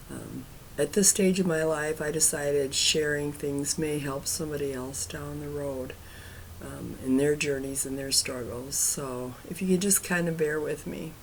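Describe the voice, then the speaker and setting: A middle-aged woman chatting casually to her viewers, hesitant with many ums and trailing off quietly, her throat raspy from a cough. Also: low-pitched, slight background noise, rough recording. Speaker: cross-stitch vlogger.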